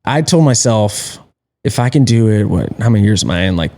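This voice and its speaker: A man's voice: fairly low pitch, expressive, brisk, warm tone. A man in his early thirties, a pit crew tire changer, answering questions in an easygoing, laid-back way. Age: 30s. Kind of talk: casual interview.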